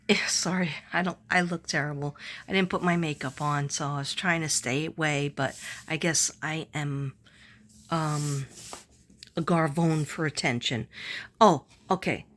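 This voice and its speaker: A woman talking casually to viewers in an unhurried, meandering way, thinking aloud as she goes. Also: on the low side, fairly bright tone. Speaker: psychic reader and vlogger. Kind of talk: casual rambling vlog talk to viewers.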